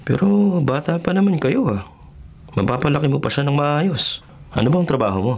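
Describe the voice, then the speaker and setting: A man's voice: on the high side, animated, normal speed, gravelly, darkish tone. A man playing a skeptical, self-reliant journalist in his twenties in a radio drama, with a Filipino accent and a conversational, questioning way of talking. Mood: casual, friendly. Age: 20s. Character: skeptical 23-year-old male journalist, faithless and self-reliant.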